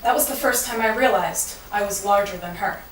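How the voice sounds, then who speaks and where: A woman delivering a rhyming spoken-word monologue in a dramatic, deliberate storytelling manner. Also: mid-range voice. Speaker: monologue performer. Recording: echoey, noisy room from far off.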